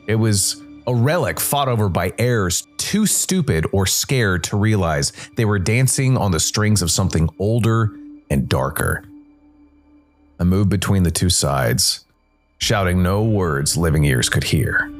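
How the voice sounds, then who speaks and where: A man narrating a first-person noir story as a ghostly jazz musician investigator, with a dramatic, deliberate delivery and weighted pauses. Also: deep voice, vocal fry. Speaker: solo storytelling podcast narrator.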